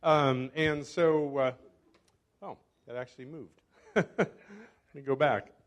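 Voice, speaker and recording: An older man, a scientist, giving a casual talk at a club meeting, often correcting himself and pausing. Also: on the high side, steady tone. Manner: chatty, self-correcting, fumbles with clicker. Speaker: guest speaker, scientist. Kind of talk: informal lecture. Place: meeting hall. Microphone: handheld or podium microphone.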